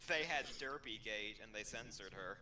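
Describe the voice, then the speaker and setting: A man's voice: fairly low pitch, expressive, moderate pace, bright, forceful tone. A man co-hosting a fan fiction reading show, trading casual sarcastic banter in a blunt, mocking manner.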